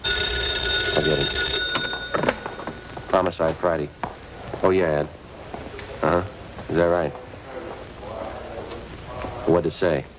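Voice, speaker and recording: An actor playing a police detective who narrates in a clipped, matter-of-fact way in a 1950s radio drama. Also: male voice, rumbling low, fairly even intonation, slow pace, long pauses, background noise. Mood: flat. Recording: old radio broadcast with music bed.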